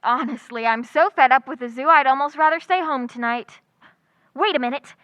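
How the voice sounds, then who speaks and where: A sharp-tongued single schoolteacher, acted by a woman in an old-time radio comedy. Her voice is high and forceful, with dry wisecracks. The sound is close and slightly dull. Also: moderate pace, no echo. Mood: sardonic. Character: wry, quick-witted single schoolteacher woman, lovelorn and sardonic. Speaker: lead actress.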